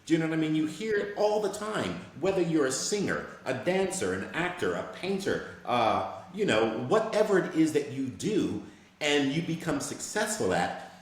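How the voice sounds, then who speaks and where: A man's voice: on the high side, very animated, normal speed. A man who is a voice actor, speaking earnestly and reflectively as a guest in a casual interview, often checking that he is understood. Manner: reflective, earnest, often asks "you know what I'm saying". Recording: very echoey room with faint background noise.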